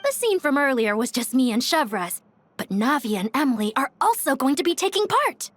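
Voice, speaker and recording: A woman's voice: high-pitched, sing-song, moderate pace. A woman playing a friendly, modest young woman in an anime game dub, with a perky, chatty delivery. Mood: friendly, bashful. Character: friendly, modest young woman, camera-shy reporter type. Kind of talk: English-dubbed anime game acting.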